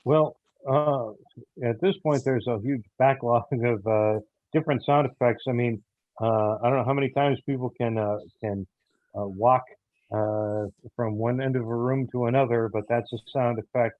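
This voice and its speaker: A man speaking haltingly as an interview guest, with frequent hesitations and pauses. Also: medium pitch, steady tone, dry recording. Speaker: audio theater producer and guest. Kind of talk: casual interview chat. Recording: muffled, phone-like narrow sound.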